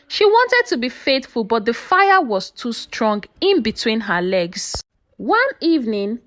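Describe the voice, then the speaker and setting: High, animated woman storyteller. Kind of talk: scripted dramatic storytelling narration of a folktale.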